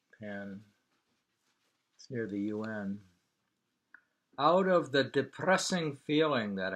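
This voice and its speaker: Slow, low-pitched musing man. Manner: unhurried, musing, pausing to hum and remark. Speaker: reader of a travel book and poems, commenting. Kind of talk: reading aloud with casual conversational asides.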